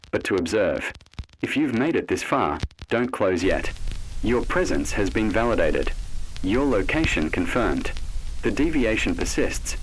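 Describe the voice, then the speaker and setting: Low-voiced man narrating ominous horror. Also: a little hiss or hum, low-quality recording. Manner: measured, cryptic, clipped short phrases with deliberate pauses. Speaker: narrator of an analog horror video. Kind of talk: scripted horror narration, ominous second-person address.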